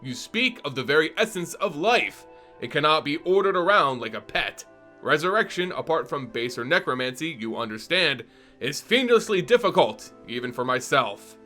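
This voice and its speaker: A man reading aloud who voices a calm, ominous ancient female dragon in a measured, warning tone. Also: on the high side, soft background music. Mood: grave. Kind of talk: dramatic story reading.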